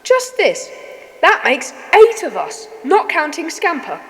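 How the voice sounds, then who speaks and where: A woman's voice: high-pitched, huge pitch swings, takes it slowly, a bit bright, in a large hall, full character voice. A woman playing an earnest, puzzled schoolboy in a children's adventure audio drama. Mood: puzzled, insistent.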